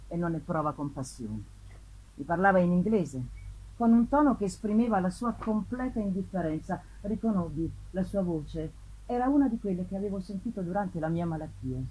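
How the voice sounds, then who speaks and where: A woman narrating a gothic novel in a deliberate, theatrical way, with emphatic swells and drops. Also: mid-range voice, mellow, husky, rough recording. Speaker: narrator, reader. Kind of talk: dramatic reading of a gothic novel. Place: live festival performance. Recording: live reading with faint ambient noise.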